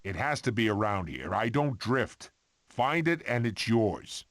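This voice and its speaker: An actor playing a cocky, playful prehistoric animal in an animated video game. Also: male voice, lowish voice, measured pace, slight background noise. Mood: coaxing.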